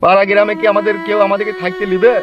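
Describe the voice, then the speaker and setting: A man's voice: high voice, flat delivery, racing, dull audio, over music, natural voice. A man voicing a cautious, worried homeless uncle in a comedy cartoon. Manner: hesitant, cautionary. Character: cautious, worrying uncle, homeless desert wanderer.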